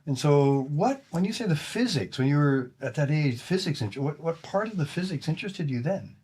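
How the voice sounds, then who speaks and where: A man hosting an interview podcast, asking questions in a relaxed way and often affirming his guest with short replies. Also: on the high side, normal speed, slightly rough. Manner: warm interjections, frequent backchannel affirmations. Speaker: podcast host. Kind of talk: casual interview, scripted intro.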